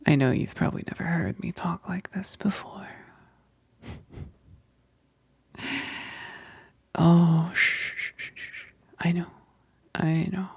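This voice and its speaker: Woman playing a vampire best friend, ASMR roleplay, very breathy whisper, unhurried pace, tender, menacing, close and dry. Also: lowish voice, fairly even intonation, no room sound, heavily acted. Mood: soothing, apologetic. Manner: slow, halting, intimate, with sighs.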